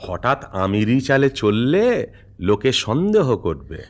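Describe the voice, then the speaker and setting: A cautious woodcutter husband from an old folk-tale drama. He speaks slowly and measuredly, with very lively swings of pitch. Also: noisy background. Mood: cautioning. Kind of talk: old-fashioned Bengali drama acting.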